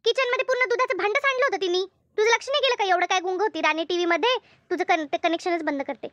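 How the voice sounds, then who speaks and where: Casting: woman to play scolding cartoon mother, extremely high-pitched, rural Maharashtra accent, exasperated, dry dull recording. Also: rapid-fire, a bit bright, dry recording. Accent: rural Maharashtra Marathi. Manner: nagging, full of rhetorical questions. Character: exasperated village mother scolding her household. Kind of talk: amateur Marathi cartoon dubbing.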